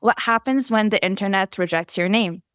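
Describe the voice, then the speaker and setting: A woman whose first language is Arabic, giving a prepared conference presentation in a measured way, reading lists item by item. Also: mid-range voice, a bit bright, clean tone, no room sound, studio quality. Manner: measured, enumerating lists steadily. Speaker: researcher presenting.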